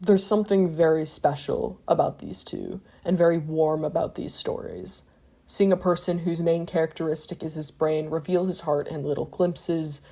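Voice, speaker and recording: A woman with a low, mellow voice reads a scripted video essay. She is reflective and analytical, and now and then drops into a casual aside.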